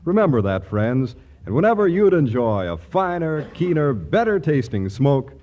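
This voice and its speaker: A man's voice: a little high, very animated, normal speed. A man reading a 1940s radio advertisement in a polished sales delivery. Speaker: commercial announcer. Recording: dull vintage 1940s radio broadcast.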